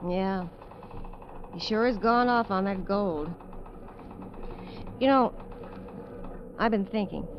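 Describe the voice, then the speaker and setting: An actress playing a weary, candid dancer adrift on a derelict ship in 1950s radio drama style, talking in a hesitant, low-key way. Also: female voice, slow, pauses often, slight background noise, close to an everyday voice. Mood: wistful. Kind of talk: 1950s radio drama acting and first-person narration. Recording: dull vintage radio, music behind.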